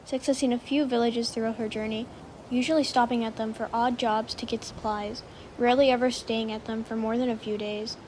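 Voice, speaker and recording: A woman's voice: a little high, steady tone, a little fast, a little mellow, slight background noise, rough recording. A woman narrating a story from a script, as in an audiobook of fan fiction.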